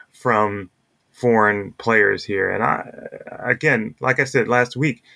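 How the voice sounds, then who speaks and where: A man's voice: on the low side, slow, vocal fry, bright, forceful tone. Man talking sports in a conversational way. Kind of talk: casual baseball discussion.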